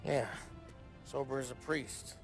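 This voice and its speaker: An actor in a video game playing a restless, ambitious American mobster with slangy, streetwise talk. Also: male voice, mid-range voice, level tone, a little slow, creaky, music in the background. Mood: subdued. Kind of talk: cinematic video game acting.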